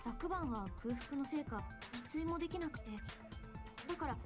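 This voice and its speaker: A refined teenage girl, acted by a woman in a Japanese game, high-pitched and courteous. She speaks formally and earnestly at a very fast clip, with loud music behind. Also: lightly acted. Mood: polite, earnest. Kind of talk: Japanese video game voice acting.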